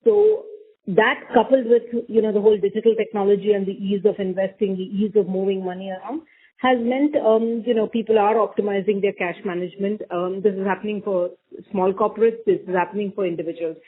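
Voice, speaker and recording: A woman's voice: mid-range voice, fairly quick. Through a narrow phone line comes a woman. She gives unscripted, explanatory answers as a senior bank executive on an earnings call, qualifying her points as she goes. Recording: thin phone conference line.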